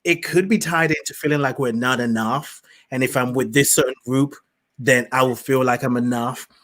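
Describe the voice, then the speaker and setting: A man's voice: medium pitch, fairly even intonation, normal speed, a bit bright. A man from Nigeria, hosting a podcast and asking long, thoughtful, searching questions. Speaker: podcast host. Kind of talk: reflective conversation.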